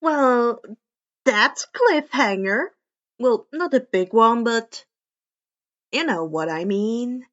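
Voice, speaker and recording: Female story reader, higher-pitched voice, expressive narration, slightly dull lo-fi recording. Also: slow pace, long pauses. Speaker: podfic reader. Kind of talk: expressive fan fiction audiobook narration.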